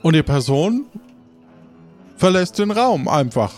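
A man narrating an improvised tabletop game as game master, halting and building scenes in short phrases. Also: fairly high pitch, big pitch swings, darkish tone. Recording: music under the voice.

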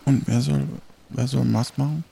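A man describing scenes and outcomes in an improvised roleplay, halting and matter-of-fact. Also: medium pitch, fairly even intonation, vocal fry, warm tone, slightly rough. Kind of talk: improvised tabletop roleplay talk.

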